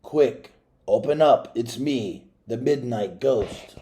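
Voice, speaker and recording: Male reader as a big menacing storybook stranger, mellow-dark timbre, urgent demands. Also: slow pace, a little hiss or hum, natural voice. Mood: urgent, secretive. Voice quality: low-voiced. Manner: urgent, commanding. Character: menacing big red-faced man, the Midnight Ghost.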